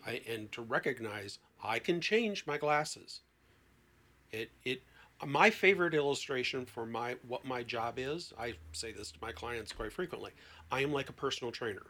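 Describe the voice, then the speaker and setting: A man talking as a therapist guest in an animated, conversational way, emphatic and given to acting out imagined dialogue. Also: mid-range voice, moderate pace.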